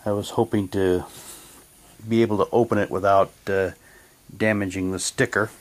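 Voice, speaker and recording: A man doing a casual unboxing, talking in a halting way and reading packaging text aloud like a hammy radio announcer. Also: lowish voice, steady tone. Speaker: vlogger unboxing a collectible audio drama CD.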